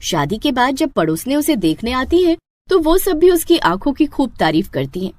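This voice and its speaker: Animated woman storyteller. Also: high voice, moderate pace. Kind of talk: scripted Hindi moral-story narration.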